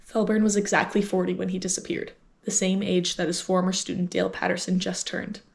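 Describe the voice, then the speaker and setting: A woman's voice: on the low side, level tone, fairly quick, creaky. An actress playing a brash, eager young woman who wants to be a private investigator, in an audio drama. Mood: eager, explaining. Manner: brash, confident, emphatic.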